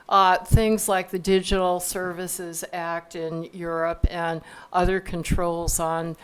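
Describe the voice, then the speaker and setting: A woman on a conference panel, speaking in a measured way and restarting her sentences often. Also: fairly low pitch, clear, resonant tone, low-quality recording. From United States. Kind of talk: panel discussion. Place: conference hall stage.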